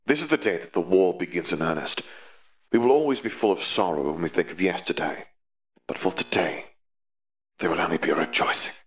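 A man voicing a fervent dark wizard in an audiobook, grave, rousing and theatrical as he rallies a comrade to war. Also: deep voice, fairly quick, close to an everyday voice. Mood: solemn zeal. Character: fervent male dark wizard rallying his brother to war. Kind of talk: audiobook character dialogue.

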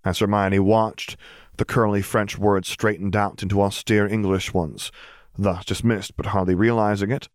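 A man's voice: fairly low pitch, little pitch movement, normal speed, dry recording, studio quality. A man reading fiction aloud as scripted audiobook narration.

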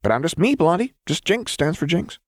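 A brash, twitchy young woman, played by a man in a storybook reading, pitched well above his natural voice. The delivery is cocky and unhinged, full of slang, in a close, dry recording. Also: very animated, brisk, no room sound. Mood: puzzled, flippant. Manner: cocky, slangy, stammering when rattled.

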